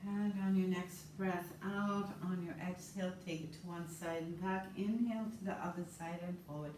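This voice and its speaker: A woman instructor guiding a yoga class with slow, soothing, calm cues. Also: lowish voice. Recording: roomy, distant mic with faint noise.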